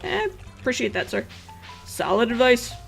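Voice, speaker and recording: Animated woman gamer with sarcastic bite. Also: on the high side, moderate pace, creaky, soft background music. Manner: sarcastic, blunt, salty-mouthed. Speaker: gaming video host. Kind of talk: casual let's play commentary.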